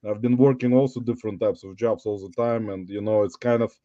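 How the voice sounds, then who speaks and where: A man's voice: lowish voice, fairly even intonation, dark tone, no room sound, highs cut off. Russian-accented man in his forties, chatty. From Uzbekistan. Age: 40s. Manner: rambling, halting, addresses viewers as guys. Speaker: livestream host. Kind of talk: casual livestream chat.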